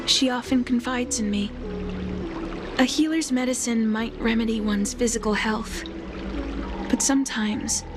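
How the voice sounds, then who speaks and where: An actress voicing a gentle, calmly wise blind healer in a video game, speaking softly in a measured, soothing, earnest way. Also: female voice, fairly high pitch, lightly acted. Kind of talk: scripted video game voice acting. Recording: background music under the voice.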